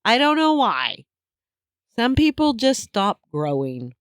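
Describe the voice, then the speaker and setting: Unhurried, smooth-voiced woman co-host. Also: a little high, very animated, dry recording, studio quality. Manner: laughing, teasing banter. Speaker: podcast co-host. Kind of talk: casual chat.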